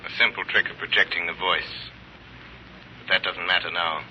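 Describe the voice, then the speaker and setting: Deep, ominous radio avenger. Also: male voice, fairly even intonation, sharp tone. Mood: calm, commanding. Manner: measured, ominous, authoritative. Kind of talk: 1930s radio drama acting. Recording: muffled, noisy 1930s radio recording.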